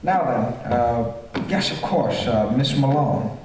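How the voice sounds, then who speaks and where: An actor plays an unctuous, sinister gentleman in a radio horror drama. He speaks with swooping intonation, repeating himself hesitantly, far from the mic in an echoey theater. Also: medium pitch, lively intonation, takes it slowly. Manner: hesitant, repetitive, overly reassuring. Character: oily, flustered, sinister gentleman greeting a visitor. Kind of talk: old-time radio horror drama acting. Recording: echoey theater, distant mic, faint noise.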